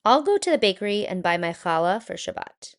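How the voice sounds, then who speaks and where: A woman voicing an earnest, worried male painter in a children's picture book, with animated, expressive delivery. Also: mid-range voice, brisk.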